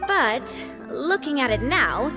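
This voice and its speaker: Casting: gracious young game boss, high and measured, long reverb. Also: female voice, big pitch swings, over music, full character voice. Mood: composed. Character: poised, sincere young female gang leader. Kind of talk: video game voice acting.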